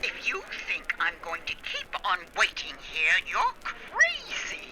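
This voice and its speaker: A woman's voice: fairly low pitch, huge pitch swings, slow pace, heavy background noise, exaggerated voice. A woman playing an impatient, sarcastic New York girlfriend in a 1940s-style radio drama, sassy and exasperated.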